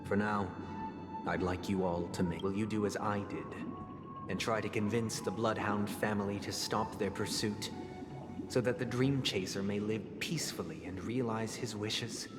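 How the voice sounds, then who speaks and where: An actor playing a solemn noble man who heads a family, telling stories in a measured, formal way with soft, hushed phrases. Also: lowish voice, steady tone, natural voice. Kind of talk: game dialogue voice acting. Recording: reverberant with game music.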